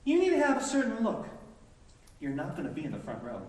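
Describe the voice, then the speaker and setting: A man's voice: high-pitched, wildly swooping pitch, quick pace, very echoey, far from the mic, pitched above the performer's own voice. A man voicing a strict, dismissive female choir director who gives bossy orders in a theatrical monologue. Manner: bossy, prescriptive.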